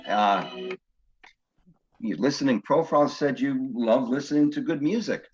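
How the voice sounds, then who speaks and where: Actor as refined, awkward older widower in stage comedy; mid-range voice, hesitant and earnest, slightly dull recording. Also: male voice, medium pitch, lively intonation, unhurried, natural voice. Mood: flustered, earnest. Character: nervous, cultured older widower on a virtual date. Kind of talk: one-act stage comedy acting. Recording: dull, lo-fi sound.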